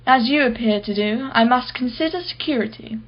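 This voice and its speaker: An actress playing a wealthy, privileged art collector in a radio play, with an arch, articulate, sardonic manner. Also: female voice, a little high, a little fast, muffled recording, close to an everyday voice. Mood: haughty, defensive. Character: haughty, wealthy, privileged female art collector, witty and defensive.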